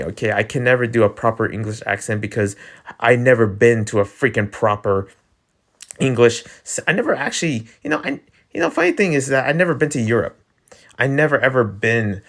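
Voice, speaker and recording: A man with an East Coast American accent hosting his channel, ranting in a rambling way with stammered restarts and laughs. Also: mid-range voice. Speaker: channel host. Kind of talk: casual rant vlog.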